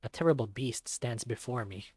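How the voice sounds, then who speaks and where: A man's voice: mid-range voice, normal speed, a little mellow, dry recording, lightly acted. A man voicing a self-deprecating young male otter, narrating a visual novel in the first person with wry self-mockery. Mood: wry, subdued.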